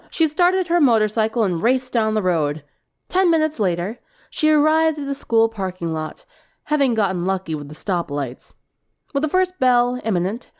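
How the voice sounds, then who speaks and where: Expressive woman narrator with lively pitch. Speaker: story narrator. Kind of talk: expressive fan fiction reading, scripted narration.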